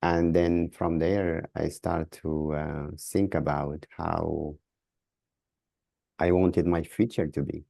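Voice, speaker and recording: Sudanese guy being interviewed, really deep mellow voice, halting, repeats words, close dry sound. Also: flat delivery, creaky, no echo. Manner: halting, repeats words while searching for phrasing. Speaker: podcast guest. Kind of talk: interview answers.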